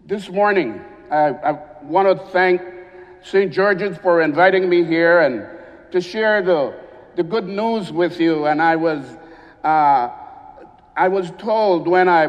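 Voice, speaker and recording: Man from Honduras, bishop's address, fairly high voice, long church echo, muffled sound. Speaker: visiting bishop.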